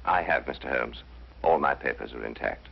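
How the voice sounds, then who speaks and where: An actor playing a grave, protective English lord with a formal, hesitant, dignified British manner, in 1950s mystery drama. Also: male voice, extremely low pitch, normal speed, creaky, slightly rough, full character voice. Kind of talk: 1950s television mystery acting. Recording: dull 1950s soundtrack with faint music.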